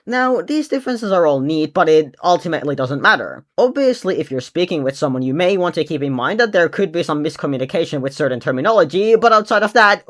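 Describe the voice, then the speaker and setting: Lively, sarcastic young vlogger, native Finnish speaker. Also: medium pitch. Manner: sarcastic, blunt, swears when ranting. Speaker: video creator. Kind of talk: casual vlog rant.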